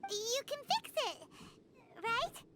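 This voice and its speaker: Squeaky, high-strung little game sidekick; big leaps in pitch, heavy hiss behind. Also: female voice, full character voice. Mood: eager. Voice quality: squeaky, childlike. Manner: quick, exclamatory, lively chatter. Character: tiny floating female companion, chatty and excitable. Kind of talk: video game voice acting.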